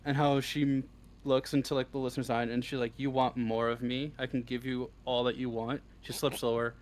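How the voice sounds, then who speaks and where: A man chatting casually as an interview guest, halting and hesitant as he goes, with plenty of swearing. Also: mid-range voice, level tone. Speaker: interview guest, script writer. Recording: clearly noisy live stream audio.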